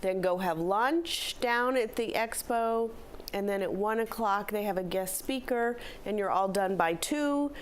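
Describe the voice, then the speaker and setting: A middle-aged woman, a philanthropy director, slightly hoarse, giving upbeat, chatty announcements in a studio interview. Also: medium pitch, a little slow. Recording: faint background noise.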